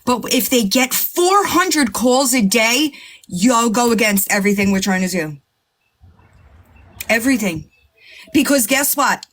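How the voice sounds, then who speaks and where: A woman's voice: mid-range voice, a bit bright. A woman hosting a livestream, pleading with her audience in an exasperated, repetitive way. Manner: pleading, exasperated, repeats herself. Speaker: livestream host. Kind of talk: heated livestream chat.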